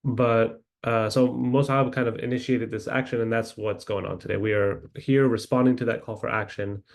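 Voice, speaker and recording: A man's voice: mid-range voice, steady tone, moderate pace, vocal fry. A man hosting an online event casually over a video call, informal and a little hesitant, with a reassuring way of talking. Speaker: webinar host. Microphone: video call microphone.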